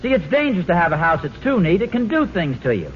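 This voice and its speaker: A man's voice: high voice, big pitch swings, fast talker. An actor playing a mild, bumbling suburban father in an old radio sitcom, hesitant and rambling, mildly exasperated. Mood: earnest explaining. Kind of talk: 1940s-50s radio sitcom acting. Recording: dull, hissy old radio recording.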